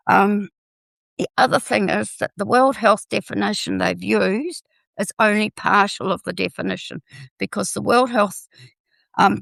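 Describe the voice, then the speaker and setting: An older New Zealand woman, croaky with a cold, her voice heavily gravelly with fry. She talks in an earnest, halting way, restarting her phrases, and the sound is close and dry. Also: mid-range voice, fairly bright tone, dry recording. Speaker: health education guest. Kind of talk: live online discussion.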